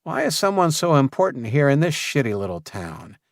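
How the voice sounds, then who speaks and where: A man's voice: fairly high pitch, sing-song, a little fast, no echo, clean studio sound, voice pushed up. A man voicing a friendly, curious, teasing male survivor in an audiobook. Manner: casual, teasing.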